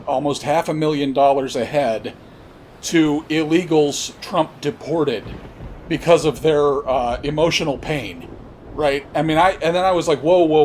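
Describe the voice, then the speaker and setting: A man hosting a political commentary podcast, talking off the cuff in a blunt, profane, emphatic way with halting, repeated phrases. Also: a little high, a little hiss or hum. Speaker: podcast host. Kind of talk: unscripted political commentary rant.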